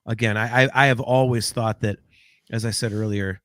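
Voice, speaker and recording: A man's voice: on the low side, steady tone, normal speed, fairly bright tone. A man in his forties co-hosting a casual podcast chat, rambling and swearing with lots of false starts. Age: 40s. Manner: rambling, swearing, frequent restarts. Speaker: podcast co-host.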